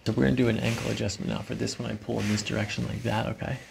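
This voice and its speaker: A middle-aged man working as a chiropractor, calmly guiding a patient in short, reassuring phrases in an ASMR style. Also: medium pitch, very fast, gravelly, heavy background noise. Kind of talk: calm hands-on ASMR treatment talk, instructing a patient. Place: small carpeted treatment office, indoors. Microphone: microphones on boom stands near the table.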